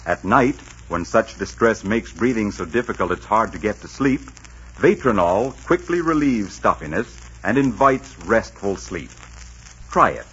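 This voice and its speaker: A man's voice: fairly low pitch, breathy edge. A man announcing in a theatrical, polished, scripted 1940s radio style. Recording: dull 1940s radio recording, music.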